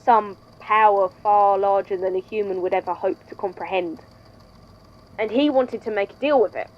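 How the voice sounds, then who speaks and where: A woman playing a composed, wry institute head in a scripted audio drama, speaking in a measured, conversational way. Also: mid-range voice, lo-fi sound, natural voice. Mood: measured storytelling. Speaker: podfic voice actor, institute head narrating a statement.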